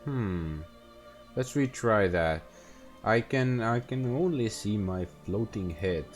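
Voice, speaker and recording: A young man with an Estonian accent, casually talking through a game stream in a halting way, full of fillers and restarts. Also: on the low side, animated, faint music behind. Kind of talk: casual gameplay stream commentary.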